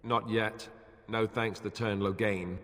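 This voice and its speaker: An actor playing a wry young warrior companion in a fantasy game, with a dry, irreverent manner. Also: male voice, lowish voice, steady tone, slow pace, fairly bright tone, big-room sound. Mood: dry, earnest.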